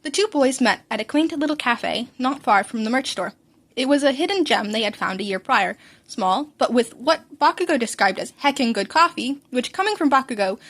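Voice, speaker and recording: Woman narrator with a slightly high voice. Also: a bit bright. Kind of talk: scripted fan fiction narration.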